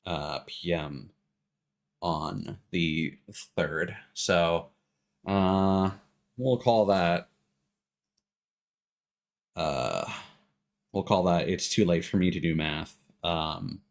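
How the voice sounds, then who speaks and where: A man chatting casually as he commentates a video game stream, rambling and often stopping to restart his sentences. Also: fairly low pitch. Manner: rambling, self-interrupting, frequent stops and restarts. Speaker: live streamer.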